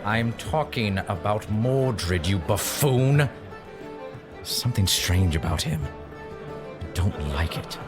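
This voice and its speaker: An actor playing a suspicious, scornful knight in an audio drama, grumbling with disapproval. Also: male voice, fairly low pitch, lively intonation, slow, long pauses, over music.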